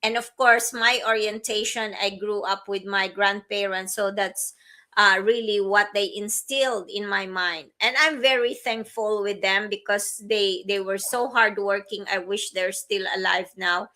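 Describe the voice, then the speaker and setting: A woman from the Philippines co-hosting a live stream, chatting slowly with many fillers and restarts. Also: medium pitch, fairly even intonation. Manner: slow, warm-hearted, frequent fillers and restarts. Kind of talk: casual livestream chat. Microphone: livestream setup.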